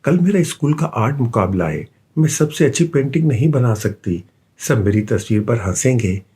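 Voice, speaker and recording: A man's voice: medium pitch, animated, moderate pace, warm tone, slightly rough. A man voicing a shy, sincere eight-year-old girl in a children's storybook, with earnest, simple phrasing. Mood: worried.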